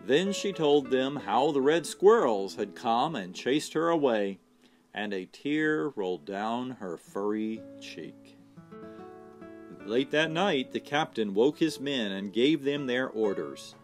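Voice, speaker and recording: A man's voice: mid-range voice, animated, takes it slowly. A man reading a children's storybook aloud as storyteller, expressively, lingering on words for effect. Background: soft guitar music.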